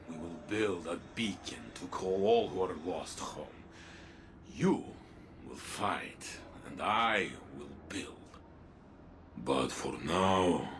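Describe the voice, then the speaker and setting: Very deep, gravelly, solemn warrior. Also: male voice, noisy background, full character voice. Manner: earnest, deliberate, formal phrasing. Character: legendary warrior back from the dead, solemn, devoted, proud. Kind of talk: video game cinematic acting.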